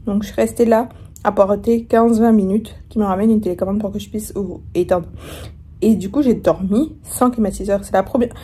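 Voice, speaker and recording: A woman's voice: mid-range voice, fairly even intonation, a little fast, warm tone, husky. A young woman vlogging casually from her hotel room, chatty and rambling, with a sore throat and a starting cold. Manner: chatty, rambling, many fillers and restarts. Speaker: travel vlogger. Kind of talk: casual vlog chat to camera.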